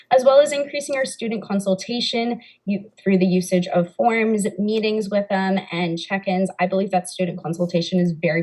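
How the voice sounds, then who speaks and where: A young woman giving steady, assured answers as a candidate in a student debate. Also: medium pitch, poor audio. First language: English. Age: young adult.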